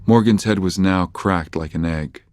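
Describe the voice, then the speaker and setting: A man's voice: deep voice, normal speed, a little mellow. A man delivering scripted horror narration as the storyteller of an audio drama. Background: music.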